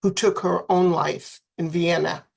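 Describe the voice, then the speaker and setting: A woman giving a plain, steady dedication at a remembrance ceremony. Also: on the low side, a little slow, vocal fry. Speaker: candle lighter at a remembrance ceremony.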